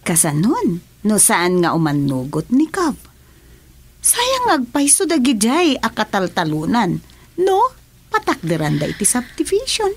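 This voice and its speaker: An actress playing a bossy, scheming madam in an Ilocano radio drama, with a husky voice. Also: female voice, medium pitch, huge pitch swings, measured pace, heavily acted. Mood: gossipy.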